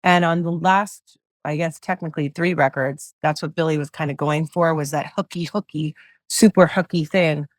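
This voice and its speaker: A middle-aged woman with a low voice, a singer-songwriter guest chatting loosely in an interview, full of fillers and restarts. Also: steady tone, normal speed, dry recording, clean studio sound. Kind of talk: casual interview chat.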